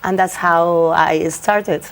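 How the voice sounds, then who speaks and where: A woman's voice: lowish voice, steady tone, slow pace, gravelly. Young Spanish-accented woman lawyer, hesitant. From Spain. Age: young adult. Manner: hesitant, many fillers and restarts. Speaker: lawyer guest. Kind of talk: interview answers.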